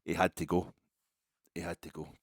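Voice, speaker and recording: Scottish male fan podcaster, low voice, very dry close sound, loose colloquial solo chat. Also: flat delivery, moderate pace, no room sound. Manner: rambling, wry, colloquial. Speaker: football fan podcast host.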